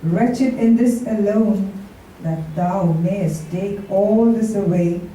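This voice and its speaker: A woman reciting Shakespearean sonnets as a poetry reader, in a measured, deliberate delivery. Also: medium pitch, mellow. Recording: very echoey, distant, faintly noisy live recording.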